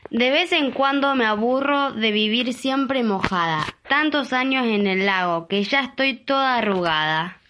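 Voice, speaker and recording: A woman's voice: on the high side, animated, unhurried, hardly any pauses, background noise. A woman with an Argentine accent voicing a bored, love-starved many-headed serpent in a rhymed storybook reading. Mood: self-pitying. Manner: reciting rhymed verse. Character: bored, foul-breathed female many-headed serpent monster, longing for kisses.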